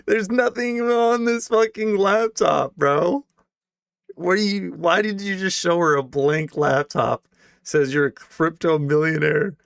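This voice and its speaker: A man's voice: high-pitched, fairly even intonation, unhurried, vocal fry, a bit bright, no room sound, clean studio sound. A man streaming his reactions to a video in blunt, incredulous casual talk, swearing freely.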